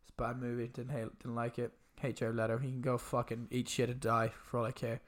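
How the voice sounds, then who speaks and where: A man's voice: mid-range voice, completely flat delivery, fairly quick. A man with a stuffy nose from a cold, co-hosting a casual podcast and bluntly complaining about a film. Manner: blunt, sweary, grumbling. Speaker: podcast co-host. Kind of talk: casual movie review chat.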